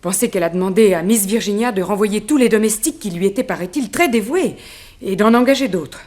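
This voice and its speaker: Actress as female servant, medium pitch, deferential, formal, informative, 1960s French radio drama. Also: fairly quick, darkish tone, lightly acted. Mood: earnest reporting. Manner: formal third-person deference.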